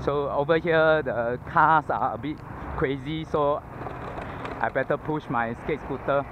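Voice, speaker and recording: Singaporean-accented man vlogging outdoors, a little higher voice, slow and easygoing, dull lo-fi action camera sound with street traffic clearly heard. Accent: Singaporean English. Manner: chatty, self-narrating, thinking aloud with fillers. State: panting from exertion. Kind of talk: casual chat while riding. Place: outdoors on a city roadside pavement. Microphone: head-mounted action camera mic. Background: passing traffic.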